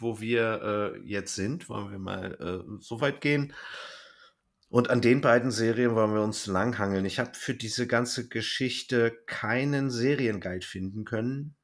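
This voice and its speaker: A man hosting a podcast in casual chat, explaining things and thinking aloud as he goes. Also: on the low side. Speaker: podcast host.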